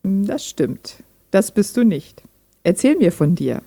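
A woman's voice: medium pitch, sing-song, a little slow, creaky, mellow, husky, full character voice. A woman playing a lonely, reserved hobby programmer in an audio drama, speaking in a dry, direct way. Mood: probing, interested. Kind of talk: amateur audio drama dialogue, read chat.

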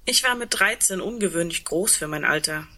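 An actress playing a lonely, sardonic woman awaiting the end of the world in an intimate radio play, in a breathy, reflective, wry way. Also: fairly low pitch, animated, fairly quick, pushed and bright. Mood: wistful, wry.

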